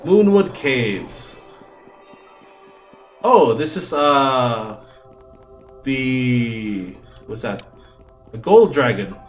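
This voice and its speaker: A man's voice: medium pitch, animated, very slow, pauses often, faint music behind. A man whose first language is Cebuano, chatting and joking as he streams a game and reads its text aloud. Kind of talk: let's play commentary.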